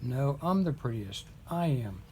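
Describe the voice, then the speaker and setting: A man's voice: mid-range voice, lively intonation, a little slow, a little mellow, a little hiss or hum, lightly acted. A man reading as a crowd of vain, clamoring storybook cats, chanting insistently. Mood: vain, quarrelsome.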